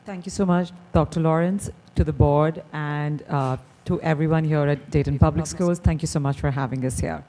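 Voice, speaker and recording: A woman's voice: low-pitched, fairly even intonation, moderate pace, hardly any pauses, dark tone. A woman from India with an Indian accent, a company founder giving a persuasive presentation at a school board meeting. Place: meeting hall.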